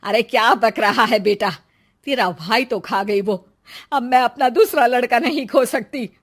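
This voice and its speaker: Harsh middle-aged mother-in-law voiced by a woman for a moral cartoon: high-pitched, slow, dismissive and scolding. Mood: indignant. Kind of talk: dramatized Hindi moral story cartoon.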